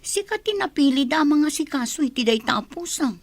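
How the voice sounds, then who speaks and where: A chatty young housemaid, played by a woman in a radio drama. She talks in a high, curious voice, recorded close and dry. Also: lively intonation, fairly quick, no room sound.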